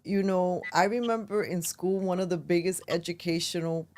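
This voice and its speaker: A woman with a lowish voice hosts a casual livestream chat. She's talkative and laughs easily, drifting into fillers and loose restarts. She sounds like she's on a video call. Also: steady tone, moderate pace, darkish tone. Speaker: podcast host.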